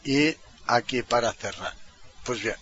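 A man with an accent from Spain giving an informal step-by-step software tutorial, explaining as he goes and checking in with little questioning tags. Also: medium pitch, a little slow, husky. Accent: Spanish from Spain. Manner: explanatory, often ending phrases with a questioning tag. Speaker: tutorial presenter. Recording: dull, lo-fi computer microphone recording.

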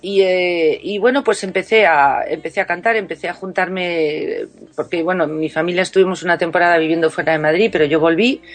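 A woman's voice: on the low side, fairly quick. Chatty woman singer, radio guest. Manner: warm, chatty, many restarts and fillers. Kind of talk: casual radio interview.

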